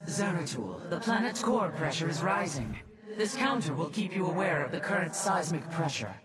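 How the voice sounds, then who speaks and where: A man's voice: a little high, sing-song, normal speed, a loud crowd. A man voicing a proud, honor-bound alien warrior commander in a video game, with formal, martial phrasing. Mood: businesslike, wary. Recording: distant, very noisy game battle audio.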